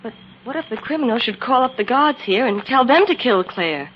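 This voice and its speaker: An actress playing an eager, anxious, inquisitive sidekick to a detective hero in an old-time radio adventure. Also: female voice, on the high side, fairly quick, lightly acted. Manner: inquisitive, animated. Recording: muffled, noisy old radio recording.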